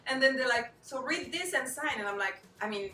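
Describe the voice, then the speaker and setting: A woman's voice: high voice, fast talker. A young woman from France chatting casually, telling stories animatedly and acting out dialogue. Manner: animated storyteller, acts out dialogue, laughs often. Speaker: co-host. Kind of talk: casual group chat. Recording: roomy, distant mic.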